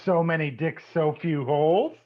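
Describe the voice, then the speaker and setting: A man's voice: fairly high pitch, moderate pace, close to an everyday voice. A man playing a sarcastic, crude, needling boyfriend in a screenplay table read, with a mocking delivery. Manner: mocking, snide. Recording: thin, phone-like narrow-band sound.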